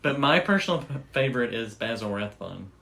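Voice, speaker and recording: A man with an average-pitched voice talks as a co-host. He explains things casually, like an informal and enthusiastic storyteller among friends. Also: very animated, fairly quick, vocal fry, bright, forceful tone. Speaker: podcast co-host.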